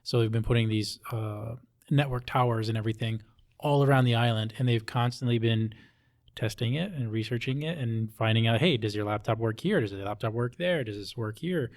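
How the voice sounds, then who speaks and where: A man's voice: mid-range voice, a little fast, a little mellow. A middle-aged man talking casually as a podcast host, informal and plain-spoken. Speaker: podcast host, police officer.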